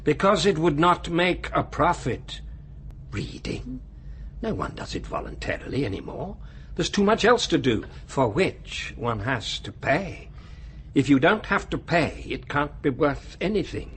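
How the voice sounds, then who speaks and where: An actor playing an urbane, cynical man of high authority in a radio drama, lecturing in a measured, condescending way. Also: very animated, fairly bright tone, a little hiss or hum. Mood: dismissive. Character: male world ruler, urbane, coolly cynical, menacing authority.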